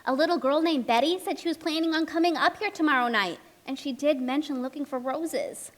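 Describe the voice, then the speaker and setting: A woman's voice: sits high, brisk, lightly acted. A woman playing a chatty, anxious storybook narrator, speaking expressively and emphatically in a children's puppet show. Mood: encouraging.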